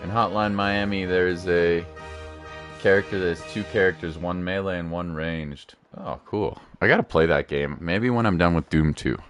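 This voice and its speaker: A man's voice: sits low, moderate pace, fairly bright tone. A man giving casual live gaming commentary as a streamer, rambling, joking and slangy. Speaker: game streamer. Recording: game music behind voice.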